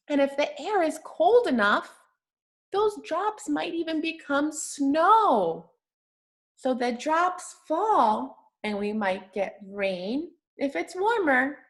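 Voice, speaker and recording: Slow-paced woman reading aloud to young children. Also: high-pitched. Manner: simple, encouraging, patient teacher talk. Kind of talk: interactive children's read-aloud with questions and praise.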